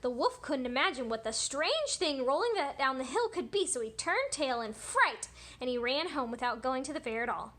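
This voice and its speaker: A woman children's teacher with an expressive style, reading a storybook and addressing children. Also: sits high, moderate pace. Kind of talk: animated storybook read-aloud for children.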